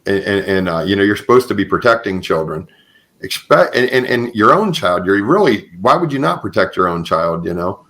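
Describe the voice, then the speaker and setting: A man hosting a podcast, interviewing in a conversational, halting way and rephrasing as he goes. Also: lowish voice, expressive, a bit bright. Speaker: podcast host.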